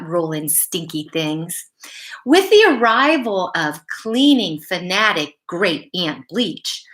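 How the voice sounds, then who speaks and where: A woman's voice: mid-range voice, fairly bright tone. A woman reading bedtime stories and chatting to young children, often putting simple questions to them. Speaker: school story-time host reading to children. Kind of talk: read-aloud bedtime storytelling and chat to children.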